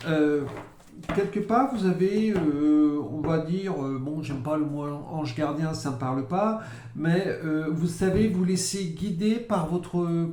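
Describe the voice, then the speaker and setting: A man reads cards for his viewers, slow and halting, stopping to repeat himself as he searches for words. His voice sits a little high for a man. The room around him sounds echoey, with a faint hiss underneath. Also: a little mellow. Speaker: psychic card reader. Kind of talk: casual monthly tarot forecast.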